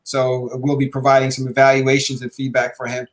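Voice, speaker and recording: Guy in middle age hosting a chat show, pretty monotone, lots of stop and start. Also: mid-range voice. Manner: halting, many filled pauses. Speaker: podcast host, basketball coach.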